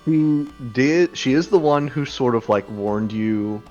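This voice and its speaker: A man's voice: medium pitch, measured pace, darkish tone, lo-fi sound, faint music behind. A man running a tabletop game as game master, hesitant and full of restarts.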